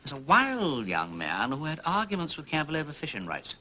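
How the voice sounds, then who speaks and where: Bright, animated upper-class English sleuth. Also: male voice, on the high side, a little fast, exaggerated voice. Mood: musing. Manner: theatrical, exclamatory, playful old-fashioned aristocratic phrasing. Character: jaunty aristocratic English gentleman sleuth, breezy and inquisitive. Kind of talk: period radio drama acting.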